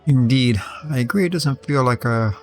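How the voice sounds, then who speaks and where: A man's voice: medium pitch, normal speed. A man giving casual gameplay commentary as a live streamer. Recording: game music behind the voice.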